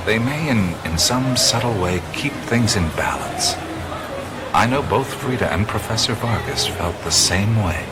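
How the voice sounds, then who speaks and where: An actor playing a laid-back American adventurer in an audio drama, hesitant and understated with a wry manner. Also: male voice, on the low side, animated, measured pace. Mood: calm, reflective. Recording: music under the voice.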